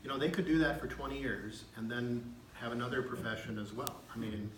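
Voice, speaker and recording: A man's voice: mid-range voice, steady tone, a little fast, fairly bright tone. Folksy legislator in his fifties. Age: 50s. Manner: folksy, anecdotal, chuckles. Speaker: state legislator. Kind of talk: town hall answer. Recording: echoey room, faint background noise.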